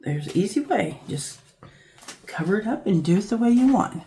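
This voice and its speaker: A woman with a scratchy, hoarse voice from a cold, casually talking through a craft project as she works, thinking aloud. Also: medium pitch, moderate pace. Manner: relaxed, thinking aloud while working with her hands. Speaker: craft channel host. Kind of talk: casual crafting tutorial narration.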